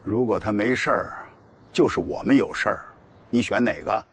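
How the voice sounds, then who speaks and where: An actor playing a sly, threatening male gang criminal with a rough, husky voice in an animated drama. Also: lowish voice, moderate pace. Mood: cold threat. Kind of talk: audio drama acting for animated comic.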